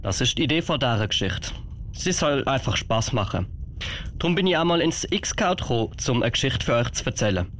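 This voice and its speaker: A man's voice: mid-range voice, animated, a little fast, a bit bright, a little hiss or hum. A man speaking as guest storyteller on radio for young listeners.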